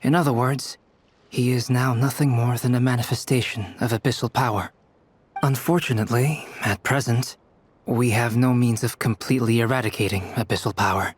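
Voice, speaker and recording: A man playing a polite, scholarly researcher in a game dub, speaking in a measured, formal, analytical way. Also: medium pitch, fairly even intonation, slightly rough, close to an everyday voice. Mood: grave, absorbed. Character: polite, scholarly young male researcher absorbed in theory. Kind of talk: English video game dub acting.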